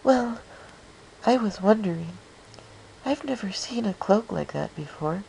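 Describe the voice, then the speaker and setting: Man doing a high, timid girl pony. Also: animated, unhurried, creaky, darkish tone, slight background noise, exaggerated voice, poor audio. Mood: shy curiosity. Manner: hesitant, timid. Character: shy, timid, soft-hearted young female cartoon pony.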